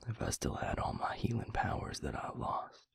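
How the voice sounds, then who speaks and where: A man playing a weary, guilt-ridden vampire in an intimate audio drama, confiding in a husky, halting near-whisper. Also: no echo, close to an everyday voice. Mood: brooding, regretful. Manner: slow, halting, brooding confession. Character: weary, guilt-ridden male vampire and former healer, confiding in his mate. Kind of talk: intimate audio roleplay drama, hushed monologue.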